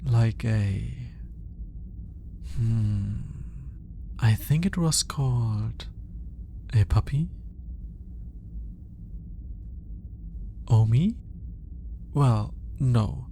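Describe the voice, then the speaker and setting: A man's voice: medium pitch, vocal fry, dark tone, slight background noise. A man playing an awkward, analytical alien scientist in an intimate ASMR roleplay, speaking in formal, hesitant musings. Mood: gently condescending. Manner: hesitant, formal, articulate musing with sighs and pauses. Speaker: voice actor.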